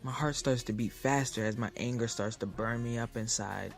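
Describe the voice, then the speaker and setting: A man's voice: medium pitch, moderate pace, creaky, faint music behind, lightly acted. An actor playing a hot-tempered young man in an audio drama, with casual, slangy American speech, in dialogue and first-person narration. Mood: tense narration.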